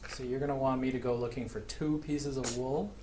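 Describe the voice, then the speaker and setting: A middle-aged man, a father playing a video game with his daughter, talking calmly and dryly and explaining things patiently. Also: mid-range voice, fairly quick. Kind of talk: casual gameplay chat. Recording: clear background noise.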